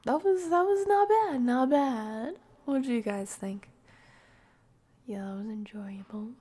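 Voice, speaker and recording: A young woman streaming a horror game, chatting to viewers in a soft, breathy half-whisper. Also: on the high side, measured pace. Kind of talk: casual let's-play chat.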